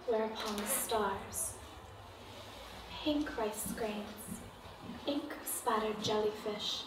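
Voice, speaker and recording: Very slow woman reciting poetry. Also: medium pitch, fairly bright tone. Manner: deliberate, with short phrases and long pauses. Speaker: poetry reader. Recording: echoey room, distant mic, faint noise.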